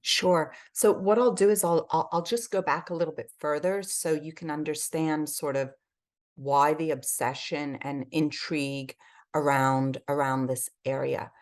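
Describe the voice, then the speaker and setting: A woman's voice: deep voice, fairly even intonation, a little slow. A woman from Switzerland and England talking effusively and emphatically as a guest in a conversational interview. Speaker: podcast guest, health advocate.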